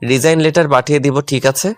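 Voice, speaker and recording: A man's voice: a little high, steady tone, quick pace, lightly acted. A man playing a hurt, angry, stubborn young husband in a dramatised audio love story. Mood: curt, defiant. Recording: music under the voice.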